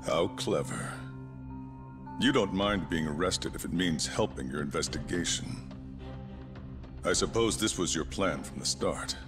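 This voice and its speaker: Deep-voiced, calculating male chief prosecutor. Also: expressive. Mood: shrewd, probing. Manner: measured, formal, authoritative. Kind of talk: video game cutscene drama. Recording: music under the dialogue.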